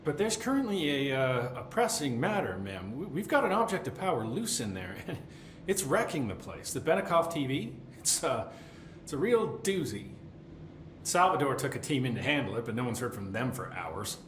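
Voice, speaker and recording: An eager, nervous supervisor in a video game. He rambles and hesitates deferentially, his pitch jumping about. The room echoes around him, with faint noise behind. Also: sing-song, normal speed, fairly bright tone. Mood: nervous, apologetic. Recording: roomy echo, faint background noise.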